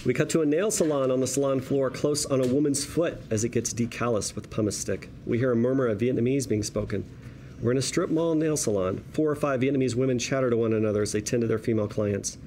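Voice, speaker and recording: A man with a dark, mellow voice of middling pitch narrates a script. He reads the stage directions at a table read, with faint noise behind him. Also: quick pace. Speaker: narrator reading screenplay directions.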